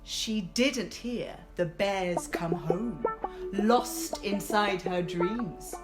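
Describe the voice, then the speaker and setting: A woman telling a rhyming children's story in a theatrical, expressive way. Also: medium pitch, extremely slow. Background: guitar music.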